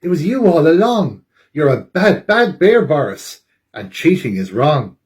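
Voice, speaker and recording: A man acts out an eager young storybook owl. The voice is raised in pitch, earnest and emphatic, a loyal little helper. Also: very animated, measured pace, a little mellow. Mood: indignant, scolding.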